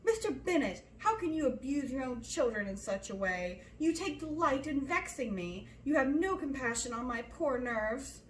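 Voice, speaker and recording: A woman's voice: high-pitched, animated, normal speed, hardly any pauses, echoey room, a little hiss or hum, pitched above the performer's own voice, poor audio. A woman voicing a nervous, fretful middle-aged mother who complains and exclaims insistently in a storybook reading. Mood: vexed.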